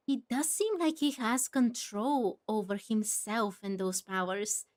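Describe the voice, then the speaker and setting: A woman's voice: on the high side, a little mellow. A woman hosting a game playthrough, musing aloud and adding exclamatory asides as she goes. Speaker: streamer, game playthrough host. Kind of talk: gaming commentary mixed with reading dialogue.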